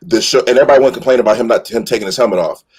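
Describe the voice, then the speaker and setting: A man's voice: mid-range voice, racing, a little mellow, slightly rough. A man speaking casually and emphatically as a fan panelist, rambling through his thoughts in colloquial phrasing. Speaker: fan panelist on a live show. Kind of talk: casual passionate fan discussion.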